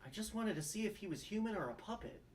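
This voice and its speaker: A man plays a young, idealistic lawyer in a sitcom script. His voice runs a little high. He comes across as earnest and somewhat unsure, in an everyday way of talking. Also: animated, fast talker, a little hiss or hum. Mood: puzzled. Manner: hesitant, earnest. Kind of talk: comedy script table read.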